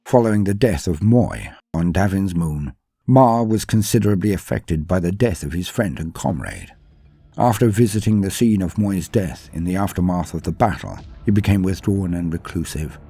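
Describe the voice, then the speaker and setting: A man's voice: deep voice, animated, moderate pace, dark tone, husky. A man delivering scripted lore narration in a grave, dramatic storytelling manner. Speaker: host narrator of a lore channel. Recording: faint music behind. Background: faint music.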